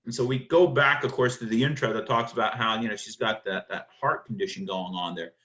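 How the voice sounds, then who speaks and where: Male literature lecturer. Sharp, bright delivery, emphatic and theatrical, asks and answers his own questions. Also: on the low side, fairly quick, dull audio. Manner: emphatic, dramatic, rhetorical questions answered by himself.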